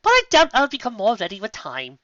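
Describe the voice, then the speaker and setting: A man's voice: extremely high pitch, huge pitch swings, a little fast, pushed and bright, no echo, pitched above the performer's own voice, full character voice. A man voicing a prim, formal female pony cellist with a dry sarcastic manner. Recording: dull lo-fi audio.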